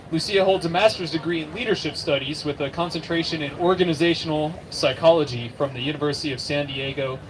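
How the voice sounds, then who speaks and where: A man emcees an outdoor rally, reading a formal introduction at a measured pace. His voice sits slightly high, and clear traffic noise runs underneath. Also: poor audio. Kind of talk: introducing speakers.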